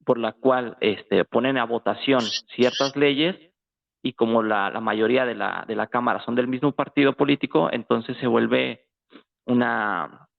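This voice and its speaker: A man with a Mexican accent talks informally as a guest on a thin, phone-like line. His medium-pitched voice has a gravelly fry, and he often gropes for the next word. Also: steady tone, measured pace. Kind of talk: casual political discussion. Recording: tinny, phone-like call audio.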